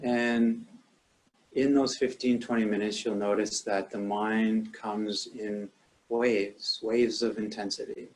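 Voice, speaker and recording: Male meditation teacher, lower-pitched voice, calm and measured, leaving long pauses between instructions. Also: rough recording.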